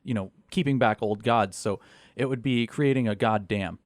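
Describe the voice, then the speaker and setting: Casual man with a lowish voice. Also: moderate pace, no echo, studio quality. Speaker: cast member of an improvised audio drama. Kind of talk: casual Q&A chat.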